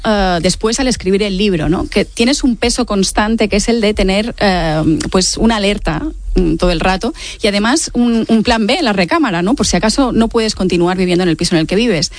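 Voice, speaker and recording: A woman's voice: mid-range voice, animated, moderate pace, dry recording, clean studio sound. A woman in her late forties with an accent from Spain, answering interview questions in a reflective, explanatory way with frequent tag questions. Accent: Spanish from Spain. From Mallorca, Spain. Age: late 40s. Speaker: author, interview guest.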